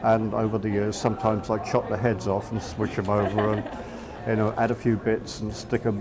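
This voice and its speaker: A man's voice: fairly low pitch, flat delivery, normal speed, a crowd in the background, low-quality recording. A middle-aged man from England with a southeast English accent, an artist speaking casually in an interview, hesitant with many fillers and restarts. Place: outdoors in a town.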